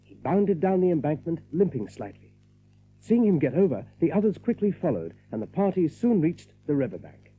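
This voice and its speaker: A man's voice: fairly high pitch, sing-song, normal speed, breathy edge. A man reading scripted story narration as the narrator of an audiobook drama. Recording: narrow, phone-like audiobook recording.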